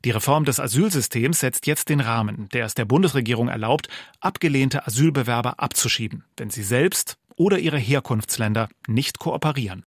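A man reads newspaper commentary in a measured, formal radio style. His pitch is middling. The studio sound is dry, with no room echo. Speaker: reader of press commentary. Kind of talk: formal reading of press quotes.

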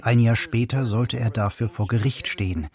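A man narrating a scripted radio portrait in a measured storytelling way. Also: mid-range voice. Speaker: radio reporter. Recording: radio feature with music bed.

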